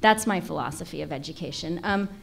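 A woman in her forties, a school board candidate, speaking clearly and directly into a desk mic. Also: low-pitched, fast talker. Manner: clear and direct, with emphatic phrasing. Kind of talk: forum statement. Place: meeting room at a panel table. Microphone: desk mic on a stand.

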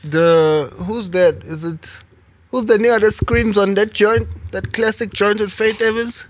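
A man's voice: high voice, fairly even intonation, unhurried, no room sound. A man in his thirties hosting a casual podcast, reminiscing in loose, slangy talk with his guest. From South Africa. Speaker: podcast host.

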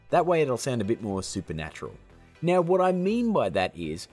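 Male how-to host, casual and upbeat, highly varied intonation, a touch of rasp. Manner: upbeat, chummy, addressing viewers as guys and gang. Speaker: tutorial host. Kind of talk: casual how-to tutorial narration.

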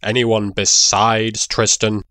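Man voicing a deep, sarcastic cartoon hero. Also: takes it slowly, no echo. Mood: deadpan. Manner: deadpan, sarcastic. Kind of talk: parody anime dub.

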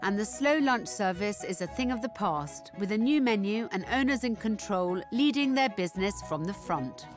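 A woman reading scripted narration for a reality show, measured and clear. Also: medium pitch, animated. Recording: music bed underneath.

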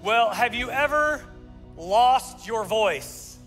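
A man in his forties, a pastor preaching an energetic, joking sermon into a handheld mic on a large church stage. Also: very high-pitched, slow pace. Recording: live sermon in a large hall with faint music.

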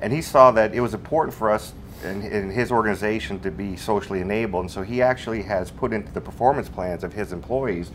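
A man with a very low, deep voice talks through his points in a measured, explanatory way, dropping in plenty of fillers. He is a panelist in a studio discussion, with a faint rumble underneath.

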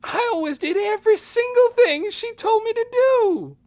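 A woman's voice: extremely high pitch, animated, moderate pace, darkish tone, pitched above the performer's own voice. A woman voicing a guilty, wounded teenage girl in an audiobook, plaintive and pleading, with folksy, uneducated grammar. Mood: crying, pleading.

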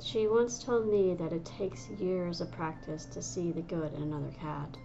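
A woman's voice: on the low side, steady tone, normal speed, gravelly, highs cut off, music in the background. A woman voicing Dustbriar, a stubborn young she-cat. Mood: sour. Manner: agitated, heated. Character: young female medicine cat, stubborn, sour.

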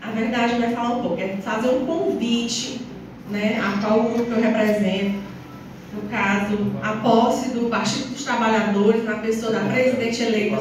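Woman, northeastern Brazilian accent, town councillor at the podium; distant from the mic, loud background noise, semi-formal speech. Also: fairly high pitch. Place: small council chamber, indoors. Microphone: phone or camera several metres away. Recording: distant, very echoey, noisy room recording.

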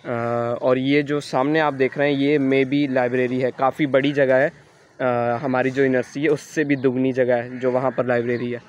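A man's voice: medium pitch, level tone, moderate pace, muffled recording. Young man touring a campus, hesitant. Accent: Urdu-speaking, Karachi. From Pakistan. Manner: hesitant, thinking aloud with frequent "uh" fillers. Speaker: student vlogger giving a campus tour. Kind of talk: casual walking tour narration. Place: outdoors on a construction site. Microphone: handheld phone camera.

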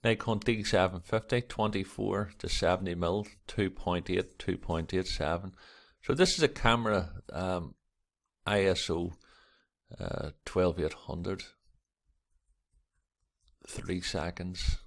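A man hosting a camera vlog, with a croaky early-morning voice, rambling and reading articles aloud with lots of fillers. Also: sits low, slow. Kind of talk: casual screen-recorded commentary.

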